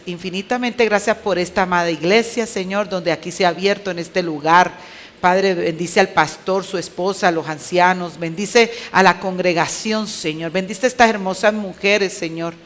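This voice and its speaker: Colombian woman preaching in emphatic Spanish. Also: fairly low pitch. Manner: clipped, emphatic delivery, rhetorical questions to the listeners. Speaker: women's conference speaker. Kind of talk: conversational preaching.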